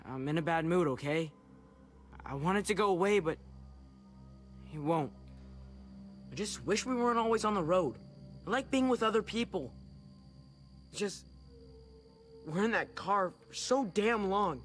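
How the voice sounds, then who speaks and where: A man's voice: sits high, lively intonation, unhurried, pauses often. An actor playing a moody, sulky teenage boy in a zombie survival video game. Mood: sullen, frustrated. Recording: faint music underneath.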